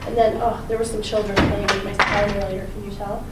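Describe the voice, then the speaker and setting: A slightly hoarse woman with a raspy voice, a chiropractor giving a chatty, upbeat health talk and quizzing her small audience. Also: mid-range voice, flat delivery, a little fast. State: slightly hoarse from talking a lot. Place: personal training gym, indoors. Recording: echoey room, distant mic, background noise.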